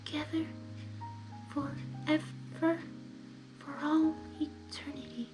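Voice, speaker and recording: A woman voicing a timid, dazed female pony with a high, hesitant voice in a story reading. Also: flat delivery, slow, over music. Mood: entranced. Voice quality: high-pitched. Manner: hesitant, faltering.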